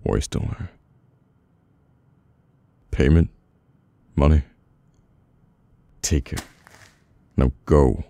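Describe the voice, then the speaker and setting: A man with a British accent playing a brooding, domineering, burdened mafia boss in an intimate, halting ASMR audio roleplay. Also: expressive, dark tone, no room sound, exaggerated voice. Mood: cold, dismissive. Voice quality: very deep, gravelly. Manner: slow, halting, weary menace.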